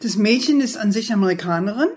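A woman's voice: mid-range voice, expressive, fast talker, a little mellow. An older woman from the United States, a native English speaker, chatting as an interview guest, often searching for words and adding tag questions. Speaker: guest, author. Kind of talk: casual conversational interview.

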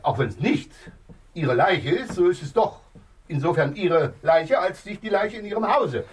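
An actor playing a bumbling, fussy accomplice in a comic crime radio play, hesitant and stammering, often repeating himself. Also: male voice, high-pitched, expressive, measured pace. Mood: fussy protest. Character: bumbling, fussy, reluctant male accomplice to a fake murder. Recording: distant, faintly noisy old radio play.

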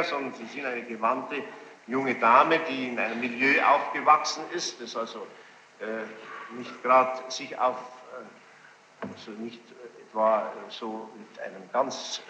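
A man questioning a witness as a presiding judge, formal and probing, choosing his words deliberately with pointed challenges. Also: medium pitch, pushed and bright. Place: large reverberant courtroom. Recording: muffled, noisy archival courtroom tape, echoing hall.

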